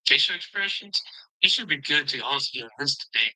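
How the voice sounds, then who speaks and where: A young man giving a casual testimonial as a coaching student, speaking haltingly with many "you know"s. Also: fairly high pitch, expressive, sharp tone, poor audio.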